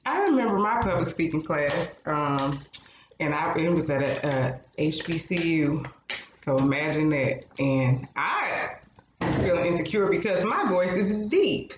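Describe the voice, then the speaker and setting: A man's voice: a little high, animated, a little slow. Blunt, roomy-sounding male co-host. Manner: blunt, frank, swears freely. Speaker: podcast co-host. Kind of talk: casual advice chat. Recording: roomy, slightly dull sound with faint noise.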